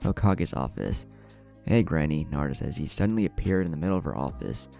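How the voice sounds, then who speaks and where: A man's voice: a bass voice, big pitch swings, fast, vocal fry, a little mellow. A man narrating a scripted fan fiction story, with character dialogue mixed in. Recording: background music under voice. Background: music.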